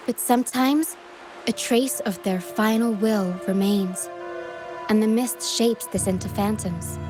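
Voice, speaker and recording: Gentle, solemn young woman, voiced by an actress, over music. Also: mid-range voice, lightly acted. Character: gentle, protective young woman guiding others through mist. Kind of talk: video game voice acting. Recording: game music behind the voice.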